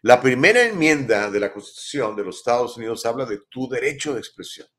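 A man's voice: mid-range voice, expressive, fairly quick, fairly bright tone. A man, hosting a live show and commenting on viewer messages with emphatic rhetorical questions. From Mexico. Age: 50s. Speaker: talk show host.

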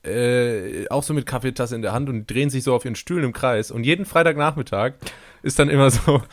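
A young man talking over a remote call in a casual comedy podcast. His voice sounds dry and close, with no room sound. He jokes in a deadpan way and adds playful asides. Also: medium pitch, a little fast, dry recording. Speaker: podcast co-host. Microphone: remote call recording.

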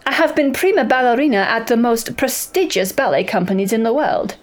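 A man's voice: very high voice, lively intonation, a little fast, voice pushed up. A man voicing a haughty, dramatic prima ballerina with a precise, imperious German accent. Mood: affronted, grand.

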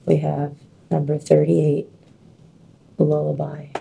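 Slow, gravelly, deep-voiced woman. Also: monotone, slight background noise, poor audio. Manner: slow, dreamy reading with soft laughs. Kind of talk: casual chat and card reading.

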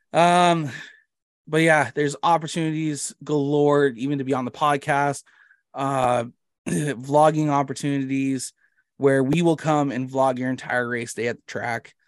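Man, Canadian accent, podcast host, casual chat, rambling, self-deprecating, medium pitch, very dry sound. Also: clean tone, dry recording.

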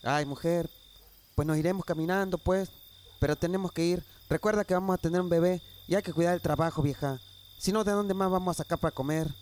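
A man's voice: high-pitched, a little fast, a little mellow, a little hiss or hum, natural voice. A man acting a humble, earnest Mexican peasant husband in an amateur radio drama, with rustic folksy speech. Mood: weary, hopeful.

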